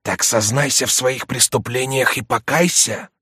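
A man's voice: mid-range voice, pushed and bright, breathy edge, no room sound, clean studio sound, voice pushed up. A man voicing a stern, mysterious heavenly accuser, solemn and threatening, in an audiobook. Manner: slow, menacing, solemn.

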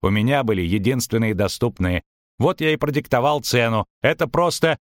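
A man voicing a calm, proud shapeshifter posing as a halfling merchant, explaining things with self-assurance in an audiobook. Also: mid-range voice, brisk, dry recording, studio quality, close to an everyday voice. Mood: smug, matter-of-fact. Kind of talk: audiobook character acting.

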